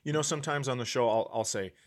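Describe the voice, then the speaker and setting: Guy on a comedy podcast, medium pitch, casual teasing banter, swears, jumps in with riffs. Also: quick pace. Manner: teasing, interjecting, profane.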